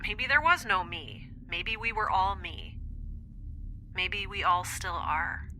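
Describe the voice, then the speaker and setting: A woman's voice: medium pitch, takes it slowly, pushed and bright, slight background noise. A woman playing an anxious young scientist in an audio drama, thinking aloud in a hesitant, confessional monologue. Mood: haunted, reflective. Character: young woman scientist, anxious, introspective, rambling recorded diary.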